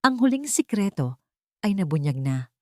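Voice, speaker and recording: A woman's voice: mid-range voice, sing-song, moderate pace, dry recording, studio quality. A woman reading scripted romantic drama narration as a storyteller.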